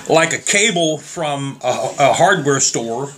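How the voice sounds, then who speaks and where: A slightly hoarse man talking casually and rambling as he tests trigger locks on guns for his viewers. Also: fairly high pitch, lively intonation, slow pace, fairly bright tone, soft background music. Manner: rambling, plain-spoken, addresses viewers as guys. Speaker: gun reviewer. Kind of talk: casual demo vlog.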